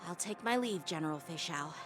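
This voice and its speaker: A woman's voice: fairly low pitch, lively intonation, lightly acted. Woman voicing an earnest young boy swordsman. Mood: formal. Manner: earnest and quick to protest. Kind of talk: video game voice acting. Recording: game cutscene with music behind.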